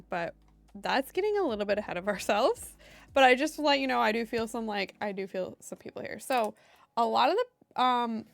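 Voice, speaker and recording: A woman live-streaming as a medium, chatting casually with her viewers in a meandering way, her voice hoarse and giving out at times. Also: medium pitch. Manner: chatty and meandering, heavy on fillers like "um" and "like".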